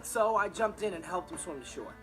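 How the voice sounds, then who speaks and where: A man's voice: high voice, expressive, fairly quick. A young male actor playing a laid-back, irresponsible teenage stepbrother, telling stories with offhand comic delivery in a TV sitcom. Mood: casually amused. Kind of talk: scripted comic monologue in a TV sitcom. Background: loud music and laughter.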